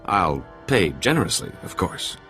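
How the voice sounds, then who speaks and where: A man's voice: low voice, sing-song, moderate pace, bright, forceful tone, faint music behind, full character voice. A man playing an oily, self-important alien schemer in a video game, pompous and wheedling. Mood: pompous pleading. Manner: wheedling, pompous, swooping delivery.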